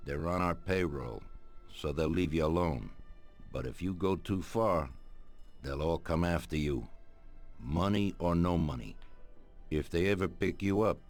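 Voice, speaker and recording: Older crime boss for a game cutscene: extremely low pitch, measured, commanding, authoritative. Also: male voice, heavily acted. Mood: stern, instructing. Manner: deliberate, commanding. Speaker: voice actor. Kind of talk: dramatic video game cutscene acting.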